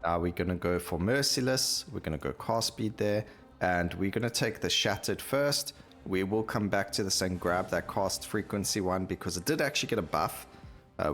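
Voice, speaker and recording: A man's voice: low voice, a bit bright. A man giving casual, unscripted commentary as he plays a video game. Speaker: gaming video host. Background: game music.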